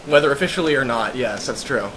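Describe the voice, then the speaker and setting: A man's voice: medium pitch, quick pace, fairly bright tone, slight background noise, poor audio. A man giving casual, joking let's play commentary with wry riffing.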